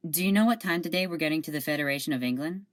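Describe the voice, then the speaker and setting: A woman in an amateur audio drama playing a deadpan, seasick ship security guard. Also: low voice, very fast, lightly acted. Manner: dry, deadpan.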